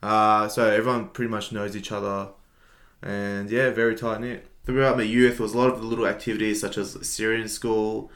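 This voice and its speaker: Low-voiced young Australian man. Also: level tone, a little fast, a bit bright. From Melbourne, Australia. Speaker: podcast co-host. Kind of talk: casual podcast chat.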